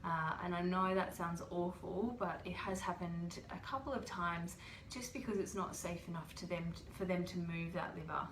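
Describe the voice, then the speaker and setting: A woman with a cold hosts a relaxed livestream, talking things through in a chatty, patient way full of ums. Her voice sits a little low. The room around her is echoey, with a faint hum of background noise. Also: normal speed, few pauses. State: has a cold. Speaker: host. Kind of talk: casual livestream explainer. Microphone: webcam or phone livestream.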